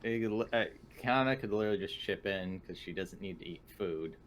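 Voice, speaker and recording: Casual man with a lowish voice. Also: a little fast. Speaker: player in a tabletop game. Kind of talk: casual chat. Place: online voice call.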